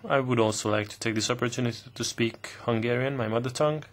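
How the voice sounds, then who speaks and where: A man interpreting formal committee questions live, speaking in halting bursts with pauses mid-phrase. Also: medium pitch. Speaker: simultaneous interpreter.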